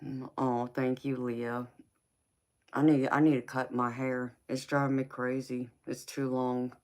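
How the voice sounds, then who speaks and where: A woman's voice: a bass voice, level tone, a little slow, a little mellow. A woman in her forties, a tarot reader chatting casually with viewers on a live stream and answering their comments by name. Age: 40s.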